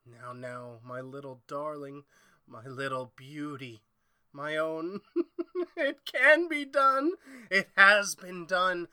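An actor playing an irascible, egomaniacal chemist in old-style horror radio drama, imperious and melodramatic. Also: male voice, on the high side, takes it slowly, bright, forceful tone, clean tone, dry recording, studio quality, full character voice. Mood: manic, gloating.

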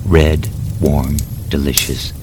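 A man, the story's author, narrating a horror tale in a measured, dramatic storybook reading. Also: very deep voice, steady tone, background noise.

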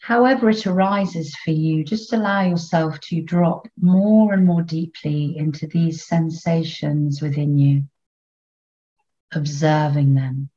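A woman leading a guided meditation in a soothing, unhurried way, leaving long pauses between short phrases. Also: deep voice, fairly even intonation, warm tone, dull audio.

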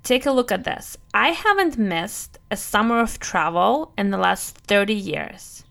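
A woman in her thirties speaks at a middle pitch with noticeable vocal fry. Her accent is Russian with a touch of Brooklyn. She delivers a prepared monologue conversationally, as a podcast host who plans family travel. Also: lively intonation, unhurried, a bit bright. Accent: Russian with some Brooklyn. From Europe, moved to the US in youth.

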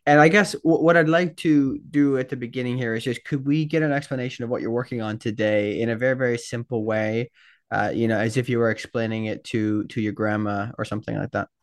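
A man's voice: mid-range voice, steady tone, brisk, dry recording, clean studio sound. A man hosting a podcast interview, asking friendly, rambling questions with restarts. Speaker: podcast host.

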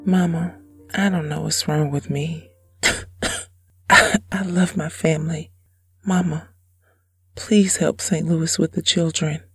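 Woman acting sick young mother, very husky breathy voice, frail, loving, slow drama. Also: sits low, fairly even intonation, faint music behind, heavily acted. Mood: weak, pleading.

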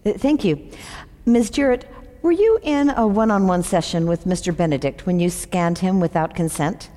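A woman's voice: on the low side, moderate pace, warm tone, natural voice. A woman playing a procedural senator questioning a witness in an audio drama, formal, direct and methodical. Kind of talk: fiction podcast audio drama. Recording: echoey hall with long reverb.

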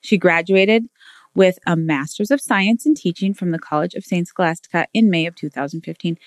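A woman's voice: fairly low pitch, brisk, dry recording, clean studio sound. A woman hosting a podcast, reading an enthusiastic, admiring introduction of her guest. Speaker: podcast host.

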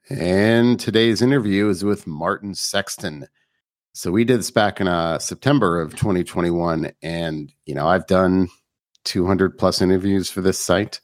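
Deep voiced guy doing interview podcast, casual explainer style, really dry recording. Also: no room sound. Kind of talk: conversational interview and introduction.

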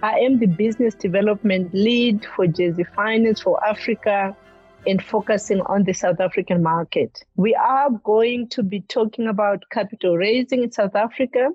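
A woman hosting a podcast interview, reading prepared questions at a measured pace. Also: mid-range voice, a little mellow, slightly rough, dry recording, faint music behind. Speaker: podcast host. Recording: dull, lo-fi dry sound.

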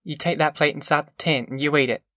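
A gruff young working man from the country, acted out by an audiobook narrator. He speaks in terse, commanding phrases with a rural Southern American accent. The recording is close and dry. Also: mid-range voice, steady tone, fast, a bit bright, no room sound, natural voice. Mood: quietly firm. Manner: blunt, terse country dialect.

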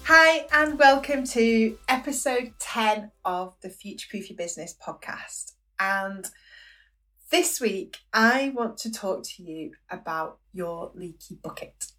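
A woman with a croaky voice hosting her own business podcast, chatty and encouraging, often checking in with "okay?". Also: medium pitch, lively intonation, slow pace, a bit bright, recorded from a distance, soft background music, rough recording. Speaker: podcast host, business coach. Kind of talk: solo podcast monologue.

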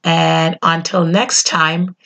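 A woman's voice: deep voice, flat delivery, creaky, a bit bright. A middle-aged woman hosting a motivational solo podcast, earnest and emphatic, slightly nasal as she gets over being sick. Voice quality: a little nasal. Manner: earnest, emphatic, slows on key phrases. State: under the weather, voice not fully recovered. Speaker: podcast host.

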